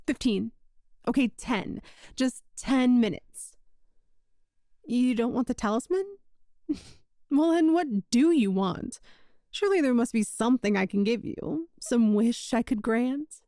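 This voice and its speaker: A woman's voice: fairly high pitch, lively intonation, measured pace, pauses often, warm tone, dry recording, clean studio sound. A woman playing a sweet, possessive young witch shopkeeper in an ASMR roleplay, with a coy, doting, teasing manner. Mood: pleading. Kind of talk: ASMR romantic roleplay audio.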